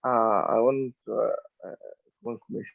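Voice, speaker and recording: Male attendee, non-native English, searching for words, gravelly voice over a thin phone-quality line. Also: lowish voice, takes it slowly, no room sound. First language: not English. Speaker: training attendee asking a question. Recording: narrow phone-like call line.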